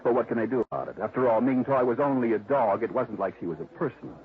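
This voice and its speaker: A man's voice: mid-range voice, animated, fast talker, lightly acted. An actor playing a callous, self-justifying publicity man in a 1950s radio drama, explaining himself defensively. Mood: callous, resigned. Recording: tinny, narrowband old radio recording.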